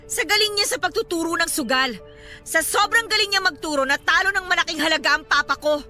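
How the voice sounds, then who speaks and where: A young Filipino mother in a radio drama, desperate and angry. Her voice is extremely high and piercingly bright. She speaks with heated, emphatic sarcasm over dramatic music. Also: a little fast, full character voice. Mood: bitter, sarcastic. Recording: dramatic music bed behind the voice.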